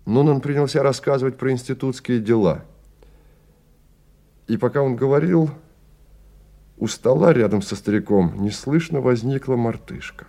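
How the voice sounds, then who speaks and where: An actor narrating a bleak science-fiction radio play as a grave, measured, dramatic literary storyteller. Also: male voice, medium pitch, gravelly, warm tone. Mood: storytelling.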